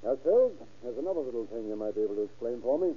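A man's voice: medium pitch, lively intonation, racing, breathy edge. An actor playing a skeptical lawman in an old radio crime drama, questioning with dry, needling pressure. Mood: probing, patient. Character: skeptical, probing male lawman questioning a suspect. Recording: tinny vintage radio recording.

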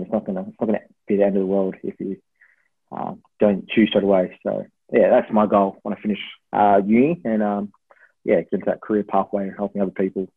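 An Australian man in his twenties with a husky voice, chatting casually and hesitantly as an interview guest, rambling a little as he goes. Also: on the low side. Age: 20s. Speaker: podcast guest, university student. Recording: thin phone-like line with room echo.

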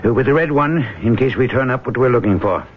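An actor playing a shrewd, wealthy older expedition boss in an old-time radio drama, speaking in a measured, businesslike way. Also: male voice, mid-range voice, breathy edge, natural voice. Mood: brisk, commanding. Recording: noisy, tinny old radio broadcast.

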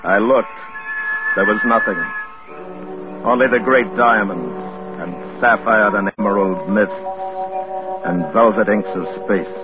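A man's voice: fairly low pitch, a little slow, long pauses, close to an everyday voice. Man acting a bitter, envious spaceman, old radio. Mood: quiet wonder. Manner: intense, insistent, despairing. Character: bitter, envious spaceman drifting to his death. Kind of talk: 1950s radio drama acting. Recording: dull 1950s radio recording with music.

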